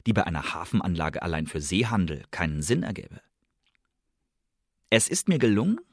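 Guarded secret agent, low-pitched, formal. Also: male voice, no room sound, clean studio sound. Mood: businesslike. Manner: measured, formal, precise, deliberate phrasing. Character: guarded male secret agent posing as student, reporting. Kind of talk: radio drama, formal first-person report narration.

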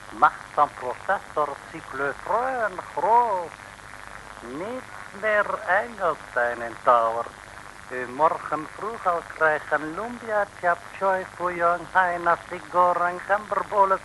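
A sly, gloating henchman with a high voice and a mock Chinese accent. He speaks slowly, in broken, flowery mock-servile phrases. It is old radio serial acting on a noisy recording.

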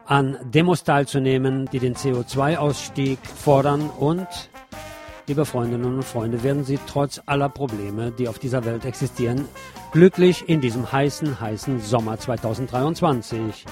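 A man's voice: mid-range voice, moderate pace, a little mellow, faint music behind. A man hosting a radio show, reading a film review from a script with chatty asides to his listeners.

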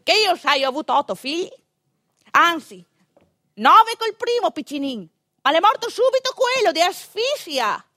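A woman's voice: very high-pitched, sing-song, normal speed, sharp tone, no echo, clean studio sound, voice pushed up, heavily acted. A woman playing a bossy old peasant grandmother, boastful and exclamatory, with a northeastern Italian accent, in a stage monologue. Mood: boastful complaining. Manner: dialect-coloured, exclamatory, boastful.

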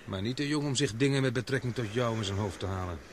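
A man's voice: medium pitch, fast, a little hiss or hum, close to an everyday voice. An actor playing a capable, watchful expedition man in a Dutch radio drama. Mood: tender, confiding.

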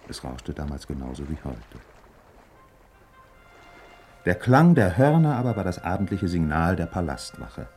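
A man narrating a 1950s German radio drama as a measured, reflective storyteller with literary phrasing. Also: sits low, big pitch swings, darkish tone, soft background music, heavily acted.

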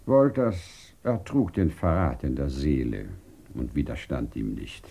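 An actor in a German radio play playing a reflective, solemn man who speaks in measured, philosophical phrases. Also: very deep voice, wildly swooping pitch, creaky, mellow, slight background noise, exaggerated voice. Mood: grave. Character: reflective man holding to trust in a shattered world.

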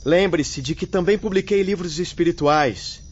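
A man playing a kind, steadfast spirit medium in a radio soap, speaking in an earnest, gentle, formal way. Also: high-pitched, moderate pace, slightly rough. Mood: consoling, steadfast. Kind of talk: dramatic radio soap acting. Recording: muffled radio drama sound.

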